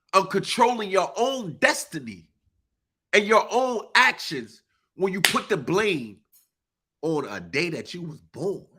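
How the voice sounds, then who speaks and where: A man's voice: fairly high pitch, pushed and bright, slightly rough. A man on a live stream, stuffy and congested, preaching emphatically in informal speech. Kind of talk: impassioned live monologue.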